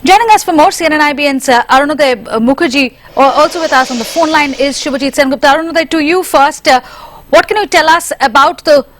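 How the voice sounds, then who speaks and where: A woman's voice: high-pitched, quick pace. A woman with an Indian English accent anchoring live news and putting questions to reporters.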